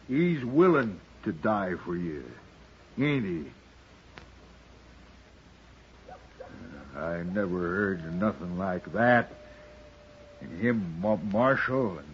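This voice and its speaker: Low slow drawling american cowboy outlaw, uneducated and confused, old radio play, muffled. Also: male voice. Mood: bewildered. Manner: drawling, ungrammatical, halting speech. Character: rough, uneducated male western outlaw, bewildered and friendless. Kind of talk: 1950s radio western acting. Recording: muffled old radio recording, faint noise.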